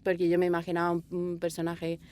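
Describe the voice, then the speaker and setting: Woman with a Castilian Spanish accent, slightly low voice, expressive director chatting informally and wandering through her answers. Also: quick pace. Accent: Spanish (Spain). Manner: expressive, rambling, acts out quoted dialogue. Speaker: audio drama director being interviewed. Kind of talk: informal, anecdotal interview answers. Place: small sound booth.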